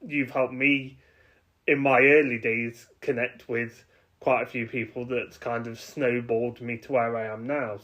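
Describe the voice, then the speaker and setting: A man's voice: medium pitch, a bit bright. Encouraging male podcast host, lo-fi. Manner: encouraging, hesitant, full of "kind of" fillers. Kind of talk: casual interview chat. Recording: dull lo-fi sound.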